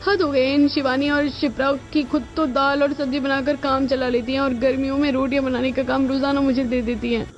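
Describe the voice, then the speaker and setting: A woman's voice: high-pitched, level tone, brisk, hardly any pauses, dull audio, pitched above the performer's own voice, lightly acted. A woman voicing a resentful young daughter-in-law in a cartoon family drama, muttering complaints about being worn out. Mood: irritated. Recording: band-limited audio with music behind.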